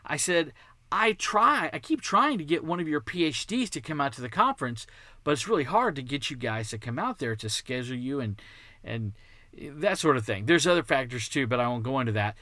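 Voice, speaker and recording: A man's voice: medium pitch, very animated, fairly quick, sharp tone, dry recording. A man from Texas with a light Texan accent, hosting a podcast in a chatty, enthusiastic, digressive monologue. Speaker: podcast host. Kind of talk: casual monologue.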